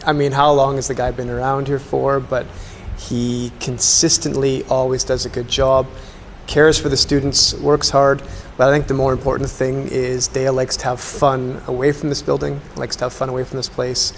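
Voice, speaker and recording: Casual Canadian male, colleague telling stories, flat delivery, echoing room with faint noise. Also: mid-range voice, moderate pace, creaky. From Canada, Alberta. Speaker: colleague giving a tribute. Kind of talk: casual reminiscence.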